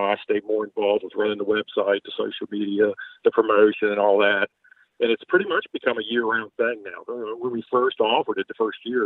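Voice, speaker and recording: A man's voice: on the low side, no room sound. Older man on a tinny phone line. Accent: Southern US. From Baltimore, Maryland. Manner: folksy, rambling, chuckles often. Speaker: ghost tour owner. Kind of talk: podcast interview over a call.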